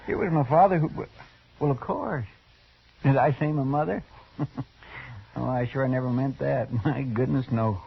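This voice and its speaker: An actor playing a folksy, deferential deputy with a rural American accent and a homespun drawl in a 1950s radio western. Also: male voice, medium pitch, normal speed, vocal fry, long pauses, slight background noise, lightly acted. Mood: sheepish, amiable. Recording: muffled old radio recording.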